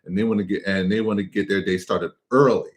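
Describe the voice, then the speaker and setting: A man's voice: low voice, steady tone, fast. An American man co-hosting a live travel stream, casually explaining what local life is like.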